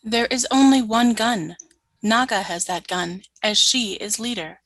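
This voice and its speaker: A woman playing a flat, obedient alien soldier. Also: on the high side, a little slow, no echo. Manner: flat, literal short replies. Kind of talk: amateur radio play.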